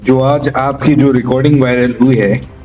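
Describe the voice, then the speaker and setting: A man's voice: mid-range voice, fairly even intonation, normal speed, a little mellow, soft background music. A man working as a news reporter, putting questions to an interviewee. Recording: dull, band-limited sound.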